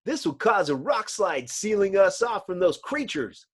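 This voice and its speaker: A man acts the thoughtful leader of a superhero team. He speaks earnestly and dramatically, with pitch moving widely. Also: very animated, a little slow, slightly rough, full character voice. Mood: determined.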